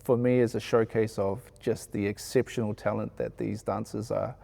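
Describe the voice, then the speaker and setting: A man with a New Zealand accent reflecting in an interview in an earnest, measured way. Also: fairly low pitch, flat delivery, creaky, mellow. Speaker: sound engineer being interviewed.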